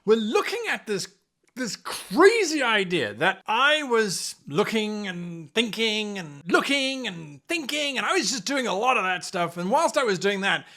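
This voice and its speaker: A man's voice: sits high, big pitch swings, measured pace, bright, forceful tone. A man hosting a tabletop game-mastering show, explaining to camera with theatrical, exaggerated emphasis. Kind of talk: animated scripted explainer to camera.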